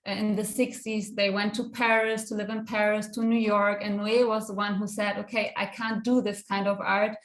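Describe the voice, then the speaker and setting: A woman from Europe speaking as a conference panelist in a spontaneous academic discussion, halting and searching for words. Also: mid-range voice, level tone. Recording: echoey room sound.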